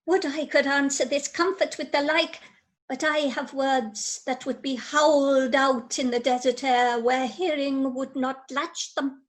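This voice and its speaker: A woman playing a grave, reluctant noble messenger in an amateur Shakespeare radio play, speaking in solemn, measured verse. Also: high voice, fairly even intonation. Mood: reluctant dread. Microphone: recorded over video call.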